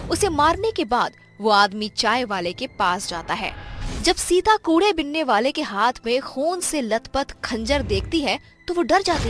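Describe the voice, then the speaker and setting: A woman's voice: on the high side, fairly bright tone. A woman narrating a scripted children's horror story.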